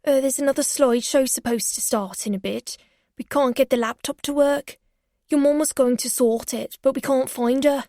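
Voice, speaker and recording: A woman's voice: sits high, steady tone, normal speed, darkish tone, dry recording, studio quality, voice pushed up. A woman voicing a sly, pushy young male barman who talks casually and slangily. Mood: friendly.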